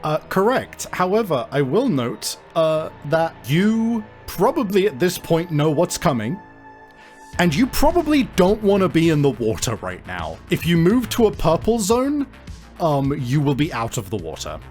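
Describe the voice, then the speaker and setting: A man acting as game master of a tabletop role-playing game, explaining rules in a deliberate, hesitant way. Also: on the high side, wildly swooping pitch, darkish tone. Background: music.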